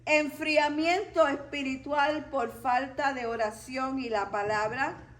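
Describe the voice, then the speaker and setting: High-pitched, slow-paced Puerto Rican woman teaching. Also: steady tone. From Puerto Rico. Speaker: church Bible study teacher. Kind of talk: Bible study teaching to a congregation.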